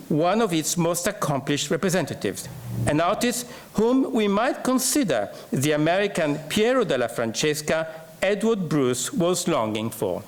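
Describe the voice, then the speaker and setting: A man reading a prepared scholarly paper at a symposium, formal and measured. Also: high-pitched.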